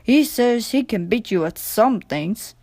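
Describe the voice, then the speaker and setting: Storybook railway chief, male, authoritative yet kindly, read by a woman slowly and plainly. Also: fairly high pitch, animated, a little mellow, no room sound. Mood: approving. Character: stern but kindly male railway boss praising engines.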